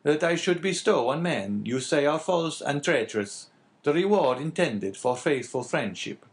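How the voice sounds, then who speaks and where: A courteous, composed priest from a classic storybook, acted by a male narrator. His phrasing is formal and measured, with a raised, expressive pitch. He probes politely. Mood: questioning.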